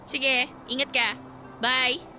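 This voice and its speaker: A woman's voice: high voice, little pitch movement, takes it slowly, music in the background. A woman playing a young college student in a Tagalog radio drama, firm and exasperated with her ex. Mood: casual, patient. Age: young adult. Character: young female college student, fed up with controlling ex.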